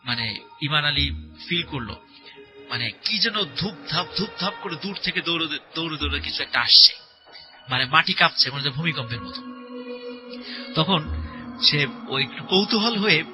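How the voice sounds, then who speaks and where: A man telling a horror story conversationally as a guest, in a halting, repetitive, suspenseful way. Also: a little high, lively intonation. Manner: halting, repetitive, suspenseful retelling with filler words. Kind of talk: spoken horror story told conversationally to a host. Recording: voice over prominent background music. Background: music.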